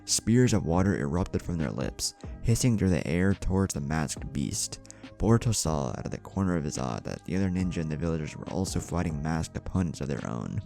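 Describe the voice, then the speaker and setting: A man's voice: a bass voice, lively intonation, fairly quick, creaky, mellow. A man reads a dramatic scripted story as its narrator, with music behind him. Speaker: fan fiction narrator.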